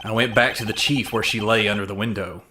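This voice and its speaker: A man's voice: lowish voice, fairly even intonation, fast, bright, forceful tone, slight background noise. A man playing an earnest, jumpy young defense attorney in a dramatic game fandub. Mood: grieving, subdued. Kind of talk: dramatic game fandub, inner monologue and dialogue. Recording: music under the voice. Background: game music.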